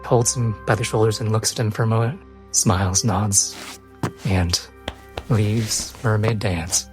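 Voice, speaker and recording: A man's voice: fairly low pitch, steady tone, a little slow, faint music behind. A man narrating his character's actions in the third person as a player in a tabletop role-playing game.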